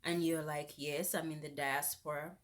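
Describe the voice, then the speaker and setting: A young woman, vlogging in a casual, animated rant that turns indignant. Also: low voice, normal speed. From Zambia. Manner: animated, indignant, rises sharply when exasperated. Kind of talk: casual ranting vlog.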